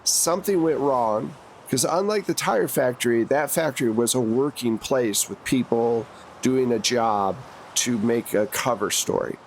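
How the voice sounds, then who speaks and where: A man's voice: mid-range voice, a little slow, slight background noise. Conversational man running a tabletop game. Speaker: game master. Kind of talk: narrating a tabletop roleplaying game. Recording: music behind the voice.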